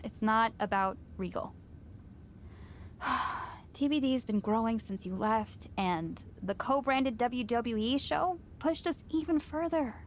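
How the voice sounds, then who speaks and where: An actress playing a teasing, caring wrestling promoter in a video game cutscene. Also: female voice, on the high side, slow, slight background noise. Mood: tender, wistful. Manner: teasing, sighs and chuckles.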